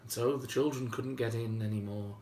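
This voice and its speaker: A man telling a story informally and whimsically, with dramatic pauses and emphasis. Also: mid-range voice, steady tone, darkish tone. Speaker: storyteller and video host. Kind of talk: informal improvised oral storytelling. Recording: roomy, faint background noise.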